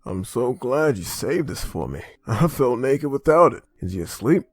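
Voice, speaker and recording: A man's voice: mid-range voice, sing-song, normal speed, slightly rough, dry recording, faint music behind. Guy acting tired worried dad survivor for a comic dub, medium voice, very dry sound. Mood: relieved, tender. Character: weary male survivor, anxious husband and father. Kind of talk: dubbed comic drama acting.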